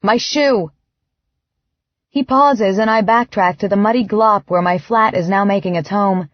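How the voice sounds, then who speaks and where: A woman's voice: mid-range voice, moderate pace, no echo. Dull-sounding dry recording of a woman narrating fiction. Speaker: audiobook narrator. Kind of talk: first-person scripted fiction narration.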